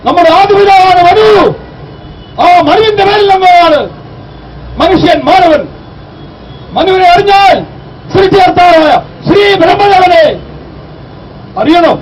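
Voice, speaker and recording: Guy w doing a fiery street speech, really high strained pitch, bit distant, faint traffic noise. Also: a little slow, pauses often, muffled recording. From Kerala, India. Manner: declamatory, exhorting, rhetorical questions. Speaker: activist, public speaker. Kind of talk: impassioned public address. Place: outdoor street rally.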